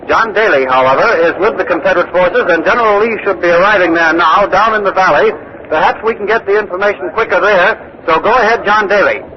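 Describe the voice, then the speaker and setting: An earnest male news correspondent, played by a radio actor, reporting as if live from history. He speaks in measured, dramatic phrases with weighty pauses, in 1940s radio style. The recording is a dull, hissy old broadcast. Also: high voice, natural voice. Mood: urgent.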